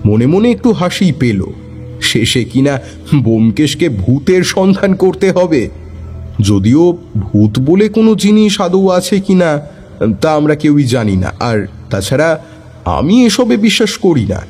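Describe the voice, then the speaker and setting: A man's voice: a little high, big pitch swings, a little slow, a little mellow, slightly rough, full character voice. A man narrating as a wry storyteller companion. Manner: wry, dryly humorous. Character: wry male companion narrating in first person. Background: faint background music.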